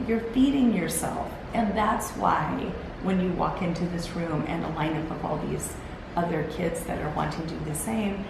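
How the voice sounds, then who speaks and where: A woman hosting a solo motivational talk, earnest and encouraging. She sounds gravelly and tired, and rambles with restarts as she talks to a camera set on a timer. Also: on the low side. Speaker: host. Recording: very echoey room with background hiss.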